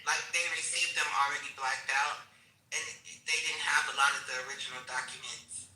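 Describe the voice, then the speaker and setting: A woman's voice: a bass voice, level tone, brisk, sharp tone, far from the mic. Blunt woman in echoey voice chat. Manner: blunt, swearing, asking questions. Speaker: voice chat participant. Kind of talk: casual voice chat.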